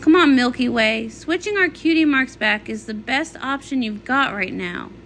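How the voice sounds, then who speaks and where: A woman performs a comic read-along in a high, bright voice. The reading is theatrical and swings widely between characters, over faint background noise. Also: lively intonation, normal speed.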